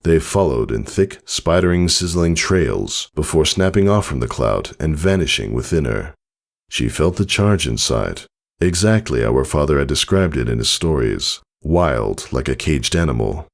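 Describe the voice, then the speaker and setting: A man's voice: a bass voice, expressive, normal speed, vocal fry. A man narrating a scripted story in a dramatic reading style. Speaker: fan fiction narrator.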